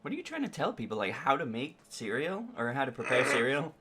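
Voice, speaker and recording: A young man bantering casually as a podcast co-host, sardonic and quick to swear. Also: medium pitch, very animated, pushed and bright, slight background noise. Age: young adult. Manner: sardonic, profane.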